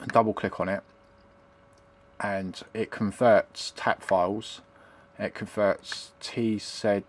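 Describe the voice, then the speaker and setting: A middle-aged man giving a casual, unscripted tutorial in a rambling, hesitant way, often repeating himself. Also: fairly low pitch. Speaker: hobbyist demonstrating retro computing. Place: indoors at a home computer desk. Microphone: handheld camera's built-in microphone.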